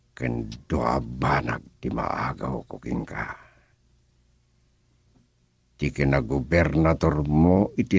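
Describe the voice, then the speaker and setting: A gravelly, scheming schemer. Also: male voice, a bass voice, a little mellow, no echo, exaggerated voice. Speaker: voice actor in radio drama. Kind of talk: Ilocano radio drama acting.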